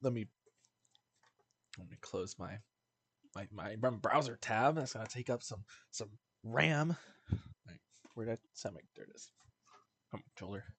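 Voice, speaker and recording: A man's voice: fairly low pitch, expressive, measured pace, pauses often, fairly bright tone, husky, no room sound. A man livestreaming a video game, rambling casually as he chats to his viewers. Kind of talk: casual gameplay stream chat.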